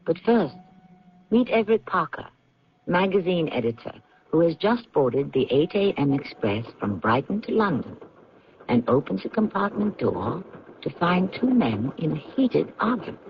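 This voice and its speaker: A man hosting a radio mystery show, narrating dramatically in a deliberate, portentous manner. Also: on the high side, big pitch swings, a little hiss or hum. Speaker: host and announcer. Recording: dull lo-fi radio recording.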